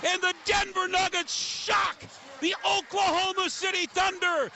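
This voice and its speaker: A man's voice: very high-pitched, steady tone, unhurried. A man giving urgent live basketball play-by-play as the announcer. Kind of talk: urgent live sports commentary. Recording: loud arena noise behind commentary. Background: basketball arena noise, bouncing ball.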